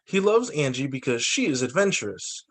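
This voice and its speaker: A man who teaches young children, reading picture books aloud in an expressive, sing-song storytime delivery. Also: medium pitch, normal speed. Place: remote video call story session.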